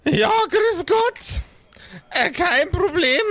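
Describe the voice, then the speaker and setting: A man voicing a young female hospital receptionist who is loud, grating and eagerly helpful in a tabletop audio play. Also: very high-pitched, lively intonation, drawn-out words, pitched above the performer's own voice, exaggerated voice. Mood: shrill, helpful. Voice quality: piercing, shouting, strained. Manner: loud, over-explaining.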